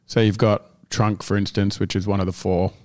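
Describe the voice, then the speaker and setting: A man's voice: on the low side, flat delivery, moderate pace, a little mellow. A man co-hosting a casual gym podcast, rambling and often restarting as he searches for words. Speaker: gym owner and podcast co-host.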